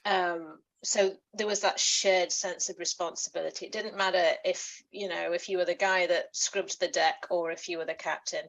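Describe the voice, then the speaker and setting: A woman's voice: fairly low pitch, fairly even intonation, normal speed, few pauses, fairly bright tone, rough recording. A woman author as interview guest, chatty and enthusiastic, laughing often as she talks. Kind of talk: book club interview.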